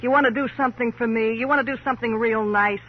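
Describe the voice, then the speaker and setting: An actress playing a brazen, sullen American con artist in a 1950s radio crime drama, with a sardonic, slangy, hard-boiled delivery. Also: female voice, fairly high pitch, steady tone, fast talker, breathy edge. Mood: bitter, mocking. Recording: muffled old radio broadcast.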